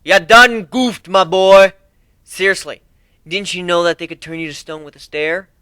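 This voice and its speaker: Brash griffin pirate captain, acted by a man: raised pitch, forceful, sarcastic, exuberant and taunting, dramatic story reading. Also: fairly even intonation, moderate pace, clean tone, dry recording. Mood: mocking.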